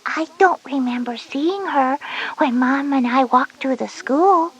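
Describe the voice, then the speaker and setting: Little kindergarten girl, breathy, high-pitched, soft, careful, earnest, kids' cassette. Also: unhurried, muffled recording. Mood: curious, earnest.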